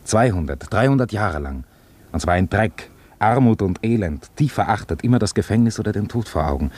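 Very deep-voiced, earnest chaplain played by a man. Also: animated, brisk, darkish tone, lightly acted. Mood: earnest, reflective. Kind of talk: German radio play acting.

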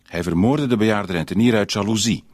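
Male actor for a radio-drama news reader. Low pitch, dry acoustic, sober, factual, formally scripted delivery. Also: brisk, darkish tone, dry recording, natural voice. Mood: matter-of-fact. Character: formal, matter-of-fact male news reader reporting a trial.

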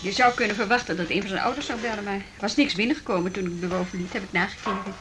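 An actress playing a brisk, dutiful juvenile police officer in a naturalistic, matter-of-fact radio play style. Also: female voice, medium pitch, lightly acted. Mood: probing. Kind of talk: Dutch radio play acting, naturalistic dialogue. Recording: faint background noise.

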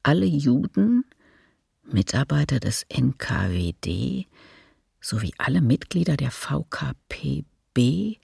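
A stern, menacing police commander delivers a decree in a radio documentary drama. The voice is extremely raspy and heavily creaky. It sounds official and dry, with no room around it. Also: male voice, mid-range voice, darkish tone, no echo, full character voice. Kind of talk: documentary radio play reading.

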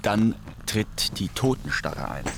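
A man playing a sober lecturer in a radio play, giving scripted scientific narration in a deliberate, matter-of-fact way. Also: on the low side, very animated, creaky, a bit bright, a little hiss or hum, heavily acted. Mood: sober, clinical. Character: sober clinical lecturer on death and decay.

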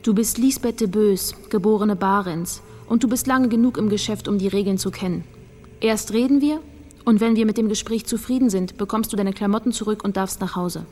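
A woman's voice: mid-range voice, a little fast, mellow, close to an everyday voice. An actress playing a businesslike, unyielding female investigator, terse and matter-of-fact, in a radio crime drama.

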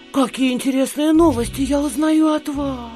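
A woman's voice: sits high, unhurried, dark tone, faint music behind. An actress playing an affected, catty provincial society gossip in a theatrical audio drama, exclaiming and drawing out her words. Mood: gushing, agitated. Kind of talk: stage-like audio drama acting of a literary classic.

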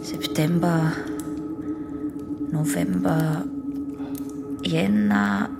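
A woman's voice: low voice, steady tone, very slow, gravelly, heavily acted. An actress playing a guarded, resisting young female patient in a German radio play, answering in a terse, defensive way. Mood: reluctant recollection. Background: music.

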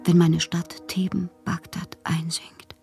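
An actress playing a passionate, eccentric bohemian poetess, reading fanciful letters in a theatrical radio drama monologue with a breathy voice. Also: female voice, fairly low pitch, little pitch movement, takes it slowly, a little mellow, faint music behind. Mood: anxious, haunted. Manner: theatrical, dreamy, often slipping into breathy whispers. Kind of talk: dramatic literary monologue in a German radio play.

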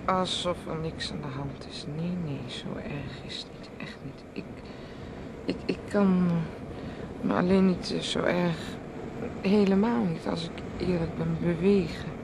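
An actress playing a swimmer's anxious, needling wife in a radio play, halting and repeating her phrases pointedly. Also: lowish voice. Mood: helpless, shaken. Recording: noisy old radio play recording.

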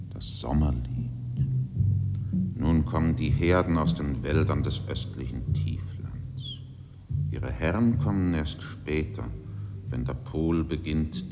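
Super deep slow brooding wilderness spirit, old radio drama, whispery menace, music playing. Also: male voice, vocal fry, heavily acted. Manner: solemn, half-whispered, incantatory. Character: ancient brooding male nature spirit of the wilderness, fearing humans, menacing. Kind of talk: 1950s German radio drama monologue.

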